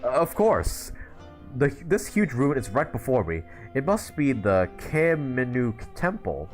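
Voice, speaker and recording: A man's voice: medium pitch, big pitch swings, a little slow, faint music behind. A man voicing a pampered, grumbling researcher in a video game, fussy and easily flustered. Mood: flustered, eager. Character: pampered, grumbling male scholar, fussy and nervous.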